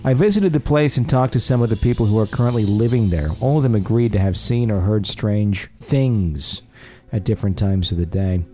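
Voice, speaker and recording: A man's voice: lowish voice, brisk, mellow. A man hosting a podcast, chatting casually and reading listener stories aloud in an agreeable, conversational manner. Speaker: podcast host. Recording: music behind the voice. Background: music.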